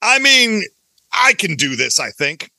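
A man's voice: sits high, big pitch swings, unhurried, vocal fry, bright, forceful tone, no room sound, clean studio sound. A man chatting casually as a podcast guest, playful and full of jokey banter. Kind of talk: casual interview chat.

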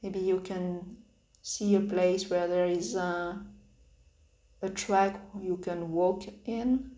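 A woman leading a guided meditation with spoken instructions in halting phrases, pausing often between them. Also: fairly low pitch, fairly even intonation, dark tone. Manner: hesitant, halting phrases with long pauses. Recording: roomy, echoing sound.

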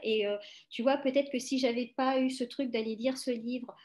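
Brief: middle-aged woman, slightly high voice, halting chat full of fillers. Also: steady tone, darkish tone. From France. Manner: hesitant, many fillers and restarts. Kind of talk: casual conversation.

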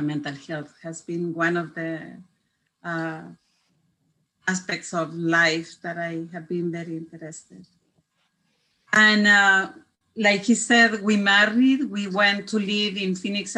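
A woman's voice: medium pitch, sharp tone, low-quality recording. An older woman from Chile with a Chilean Spanish accent, speaking English as an interview guest, earnest and searching for words. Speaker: guest, therapist.

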